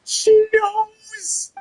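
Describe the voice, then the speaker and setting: A man's voice: very high-pitched, a little mellow. A man reacting casually and dramatically while commentating a video game playthrough. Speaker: gamer commentator. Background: game music.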